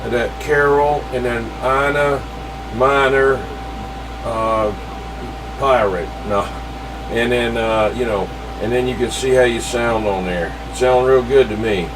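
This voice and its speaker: A man chatting informally and a little haltingly as an operator over a CB radio transmission. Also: mid-range voice, fairly even intonation. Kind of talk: casual chat over CB radio. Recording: noisy CB radio, distant-sounding transmission.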